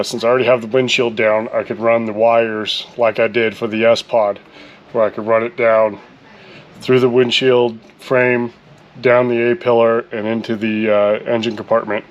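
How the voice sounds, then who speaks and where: A man hosting a how-to video, casually talking through his work step by step with relaxed fillers. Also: medium pitch, dull audio. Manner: relaxed, thinking aloud with fillers. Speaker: vlog host.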